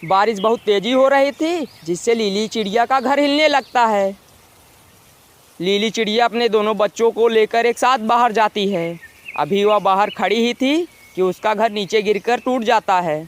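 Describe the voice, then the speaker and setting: A man narrating a scripted animated children's moral story. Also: high voice, moderate pace, a little hiss or hum.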